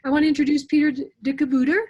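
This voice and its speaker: A woman hosts an online interview with a somewhat high voice. Her sound is dull and muffled. She reads her introduction in a friendly way, filling gaps with "um". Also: little pitch movement, racing, warm tone, soft background music. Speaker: interview host.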